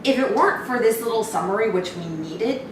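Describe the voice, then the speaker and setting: A woman's voice: on the high side, lively intonation, a little fast. A woman co-hosting a reaction show, giving casual, blunt, sweary commentary with exasperated emphasis. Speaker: co-host of a horror trailer reaction show. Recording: very echoey noisy room, distant mic.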